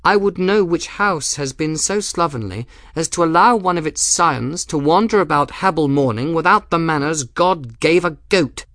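A man's voice: a little high, expressive, moderate pace, hardly any pauses, a bit bright, no room sound, studio quality, voice pushed up. A man voicing a haughty, easily offended young noblewoman in a storybook, arch, precise and imperious. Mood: indignant.

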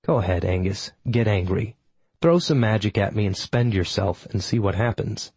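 A defiant druid hero voiced by a male narrator for a fantasy audiobook. His voice is fairly low and slightly rough, and he delivers his challenges with formal, commanding authority. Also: normal speed, darkish tone, no room sound. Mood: taunting.

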